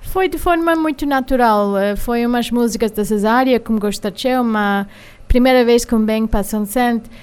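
A woman with an American accent, a singer speaking haltingly as a radio studio guest, pausing often with filler sounds. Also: fairly high pitch, a little mellow, clear, resonant tone. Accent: American English. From United States. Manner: hesitant, many filler sounds while finding words. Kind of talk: radio interview.

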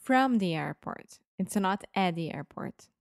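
A woman's voice: on the low side, lively intonation, unhurried, vocal fry, no room sound, studio quality. A woman with a Brazilian accent, a native Portuguese speaker, co-hosting a casual English lesson as a learner who asks questions and tries out examples. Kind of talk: casual conversational language lesson.

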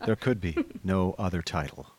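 A man playing a chatty gentleman narrator in a radio drama, telling his story in a conversational, old-fashioned way. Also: low voice, normal speed, slight background noise, close to an everyday voice. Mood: wry, reflective.

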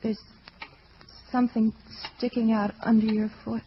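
An actress playing a fragile, fearful young heiress in an old-time radio drama, speaking in a hushed, anxious way. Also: female voice, on the high side, little pitch movement, measured pace, slight background noise. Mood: horrified. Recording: dull vintage radio recording with music.

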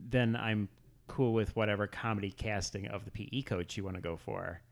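A man's voice: on the low side, fairly even intonation, fairly quick, vocal fry. A man co-hosting a podcast in casual conversation. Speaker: podcast co-host. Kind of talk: casual chat.

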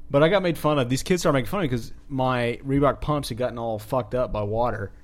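Male podcast co-host for casual banter; profane, self-mocking, average pitch. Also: quick pace. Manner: crude, profane, self-deprecating.

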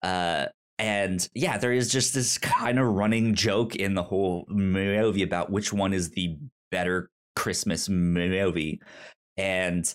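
A man co-hosting a podcast, casually discussing movies in a halting way, often repeating syllables and the starts of words. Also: low-pitched, steady tone, smooth. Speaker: podcast co-host.

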